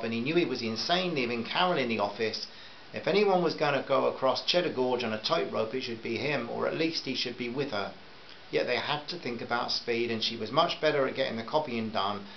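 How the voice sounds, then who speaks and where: A man's voice: mid-range voice, muffled recording, a little hiss or hum. A man reading his own novel aloud as a serialized audiobook, in a plain storytelling manner. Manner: plain storytelling, warm sign-off to listeners.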